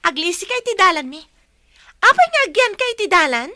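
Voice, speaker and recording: A woman's voice: very high voice, sing-song, a little fast, pushed and bright, no room sound, studio quality, full character voice. A woman with an Ilocano Filipino accent playing a spirited, outspoken young daughter in a radio drama. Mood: irritated.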